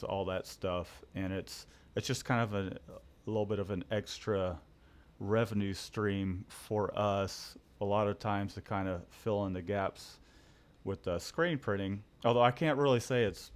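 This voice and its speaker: A man hosting a casual live stream about his print shop, rambling good-humoredly with many restarts. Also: lowish voice. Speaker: host and screen printer.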